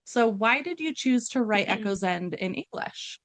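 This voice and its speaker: A woman's voice: medium pitch, animated, moderate pace, no echo. A woman hosting a book interview, enthusiastic and full of praise for her guest. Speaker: podcast host.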